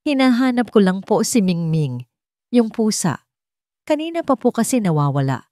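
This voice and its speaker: A woman's voice: medium pitch, very animated, normal speed, warm tone, dry recording, studio quality, pitched above the performer's own voice, close to an everyday voice. Woman voicing a meek, nervous housemaid. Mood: nervous, pleading. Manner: deferential, nervous. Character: frightened, meek household maid hiding her nerves.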